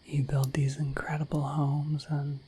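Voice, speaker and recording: A woman voicing a shy, affectionate, dorky dragon in a soft, breathy, halting ASMR whisper, close to the microphone. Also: low voice, monotone, a little hiss or hum. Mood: wistful, admiring. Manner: halting, gentle, earnest. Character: shy, dorky, affectionate female dragon, naive about humans.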